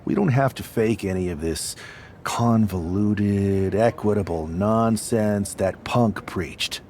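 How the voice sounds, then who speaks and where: Treacherous faction leader for a wrestling game: theatrical, menacing, slow delivery, faint background noise. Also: male voice, on the low side, a little mellow. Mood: cold, scheming. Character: treacherous, power-hungry young male wrestling villain leading a rebel faction. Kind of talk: wrestling game cutscene promo.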